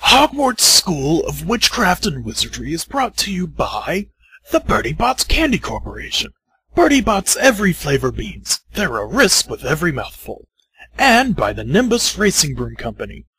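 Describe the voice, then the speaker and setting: A man voicing an old headmaster with a husky, rough voice, announcing theatrically in an amateur parody reading. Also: on the high side, wildly swooping pitch, normal speed, sharp tone, full character voice, rough recording. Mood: salesmanlike. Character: old male school headmaster, grandly selling out to sponsors. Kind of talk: amateur dramatic reading of parody fan fiction.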